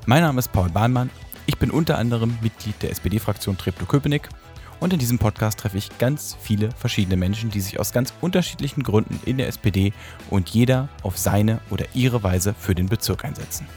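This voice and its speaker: A man's voice: lowish voice, expressive, a little fast, darkish tone, soft background music. A man hosting a podcast, opening the show and asking friendly, teasing questions. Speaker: podcast host. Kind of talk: podcast interview.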